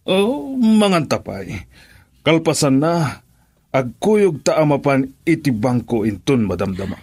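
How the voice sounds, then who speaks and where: An actor playing a sly man who schemes and bribes in an Ilocano radio drama, deliberate in delivery, with an Ilocano accent. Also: mid-range voice, sing-song, darkish tone, slightly rough, heavily acted. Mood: smug.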